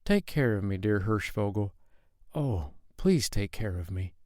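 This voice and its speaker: A man voicing an earnest small boy who pleads in a high, trembling voice. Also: animated, measured pace, dark tone, no room sound, clean studio sound. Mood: scared.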